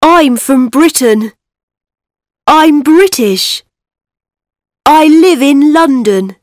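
A woman reading textbook dialogue lines slowly and carefully as a model for learners. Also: high-pitched, lively intonation, no echo, studio quality.

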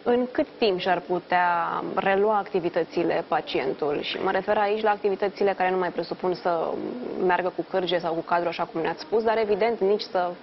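A woman's voice: on the low side, few pauses, highs cut off, slight background noise. Young woman presenting morning news. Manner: clear, scripted questions. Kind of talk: live TV interview. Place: TV studio.

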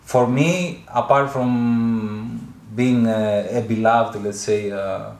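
A man in his thirties with a Greek accent, a native Greek speaker, answering interview questions in a halting way with many fillers and repeated words. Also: medium pitch, fairly even intonation, darkish tone. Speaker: musician and film co-creator. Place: studio set with couch, indoors. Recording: roomy echo.

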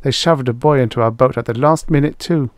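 A feisty young female raider from an adventure audiobook, acted out by a man. The voice is lifted well above a male register, brisk and sharp-tongued yet friendly and chatty, in a close, dry recording. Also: mid-range voice, dry recording, pitched above the performer's own voice, close to an everyday voice. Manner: brisk, indignant whisperer with casual chattiness.

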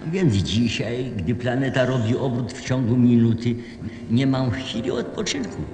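An actor playing a weary, dutiful, resigned lamplighter who complains in a radio play. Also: male voice, mid-range voice, big pitch swings, a little slow, a little mellow. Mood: weary, complaining. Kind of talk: Polish radio play acting. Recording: echoey hall, music behind.

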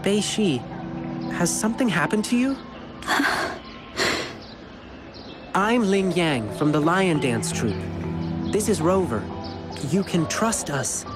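Over clear game music comes a friendly young male lion dancer. His delivery is earnest and very animated, swinging freely in pitch as he reassures whoever he talks to. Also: takes it slowly, long pauses, heavily acted. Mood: earnest, attentive. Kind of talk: video game character dialogue.

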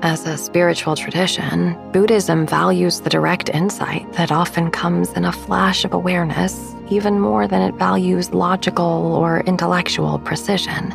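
A woman narrating a sleep meditation in a soft, breathy, gravelly voice with a soothing, unhurried delivery. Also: fairly low pitch, little pitch movement, over music. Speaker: podcast host and meditation guide.

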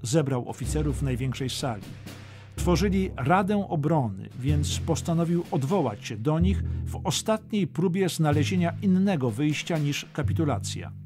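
A man's voice: on the high side, animated, normal speed, music in the background. A man narrating a dramatic history audiobook from a script.

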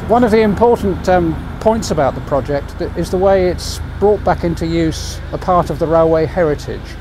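A man's voice: fairly high pitch, normal speed. A British man in his sixties presenting to camera as a project advisor, outdoors at a railway station. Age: 60s. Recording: faint outdoor station noise.

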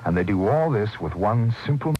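A man narrating an early 1980s radio commercial in a measured, emphatic way on old tape. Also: fairly low pitch, expressive, muffled recording, over music.

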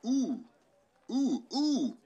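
A man's voice: extremely high pitch, wildly swooping pitch, very slow, mellow, breathy edge, dull audio, heavily acted. A man voicing a pompous, easily flustered American president puppet with theatrical, grandiose delivery. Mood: panicked. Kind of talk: live puppet show parody oratory.

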